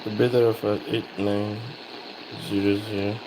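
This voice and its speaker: A man giving a casual, unscripted electronics demonstration, thinking aloud in short, halting phrases. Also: lowish voice, flat delivery, very noisy. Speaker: electronics hobbyist presenter.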